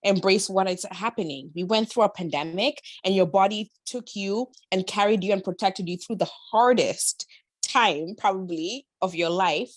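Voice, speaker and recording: A woman's voice: mid-range voice, normal speed, no echo. A woman chatting personally as a podcast guest, emphatic and slangy in a motivational way. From Kenya. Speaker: podcast guest, body-confidence influencer. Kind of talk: casual, personal interview chat.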